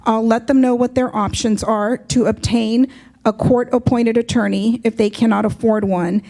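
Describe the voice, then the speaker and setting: A woman from Southern California with an American accent, speaking publicly as a judge and explaining things in measured phrases with frequent ums. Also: fairly high pitch, warm tone. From Southern California, United States. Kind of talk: public speech to an audience.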